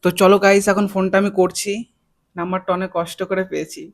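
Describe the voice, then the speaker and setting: A man's voice: sits high, level tone, fast talker. A man hosting a prank phone call, chatting casually in a teasing, needling, mock-lovelorn way. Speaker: prank-call video host.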